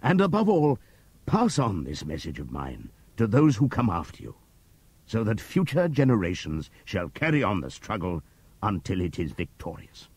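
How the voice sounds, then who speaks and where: Old boar rebel leader, read by a male narrator: husky, low, wildly swinging pitch, close and dry. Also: wildly swooping pitch, moderate pace, exaggerated voice. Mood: impassioned. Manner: impassioned oratory, sweeping from loud appeals to hushed asides. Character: old prize boar, wise, rousing orator. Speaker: audiobook reader. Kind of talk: dramatic audiobook reading.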